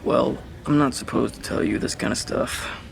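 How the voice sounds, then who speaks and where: A towering skeletal monster in a horror audio drama. The voice is heavily creaky and gravelly, offhand and secretive, over clear background noise. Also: male voice, mid-range voice, fairly even intonation, moderate pace. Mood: casual, secretive. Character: very tall skeletal monster, offhand hospital ghoul.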